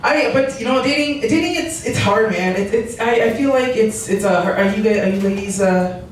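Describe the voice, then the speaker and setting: A woman's voice: mid-range voice, brisk. A young woman doing a stand-up comedy set in a barbershop, chatty, laughing often and restarting her sentences. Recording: echoey room from far off, noisy.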